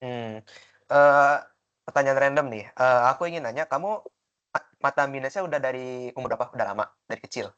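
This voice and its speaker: A man's voice: mid-range voice, fairly quick, fairly bright tone, smooth, highs cut off. A young man acting as student moderator of a group call, asking questions in an informal, hesitant way. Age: young adult.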